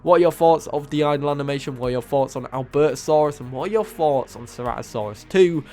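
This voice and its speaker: Hoarse, nasal man showcasing mods. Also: fairly high pitch, quick pace, a little mellow. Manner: enthusiastic, casual, repeats praise. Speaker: gaming channel host. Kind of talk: game mod showcase voice-over. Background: faint music.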